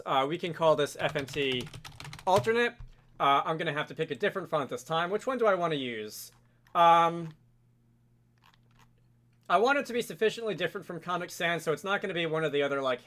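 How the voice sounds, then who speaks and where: A man narrating a software tutorial casually and informally, thinking aloud as a host with a wry streak. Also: a little high.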